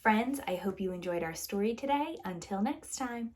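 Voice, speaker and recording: A woman reading a children's picture book aloud as a preschool teacher, with an expressive, theatrical, sing-song delivery. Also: medium pitch, moderate pace.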